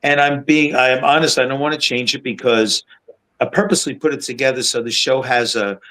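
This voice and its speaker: A middle-aged man talks at an ordinary pitch for a man. He rambles through anecdotes, doubling back and restarting his sentences. His tone is that of a casual interview guest. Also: fairly even intonation, brisk, pushed and bright, poor audio. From Long Island, New York. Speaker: guest, tribute band singer.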